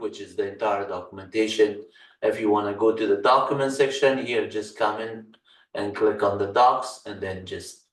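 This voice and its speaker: A man from Afghanistan with an Afghan accent, a native Farsi speaker, teaching a Linux course in a screen-share walkthrough and speaking haltingly with many "uh" fillers. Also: fairly low pitch, far from the mic. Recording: roomy echo, mic set back.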